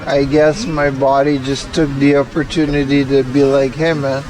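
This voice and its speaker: A man vlogging in casual, rambling chat, halting and laid-back in his delivery. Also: medium pitch, level tone, crowd noise, rough recording.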